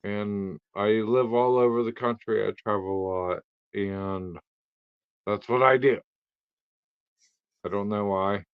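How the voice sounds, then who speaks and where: A man's voice: on the low side, steady tone, slow pace, long pauses, clear, resonant tone, dry recording. A man who grew up in Louisiana speaking French first, chatting casually as a livestream guest, rambling and trailing off. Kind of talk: casual chat. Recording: dull lo-fi stream audio.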